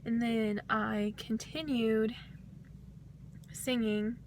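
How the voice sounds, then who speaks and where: A young woman's voice, slightly hoarse and a little higher than most, spills out in a chatty, rambling vlog. She fills her thoughts with "um" and soft laughs. A faint background hum sits under her. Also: level tone, drawn-out words. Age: young adult. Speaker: vlogger, voice student. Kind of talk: casual vlog talk to camera.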